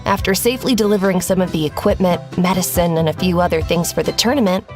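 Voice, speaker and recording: A woman's voice: lowish voice, quick pace, a little mellow, faint music behind, natural voice. A woman playing a polite, grateful young surfer in a fantasy game. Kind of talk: animated fantasy game acting.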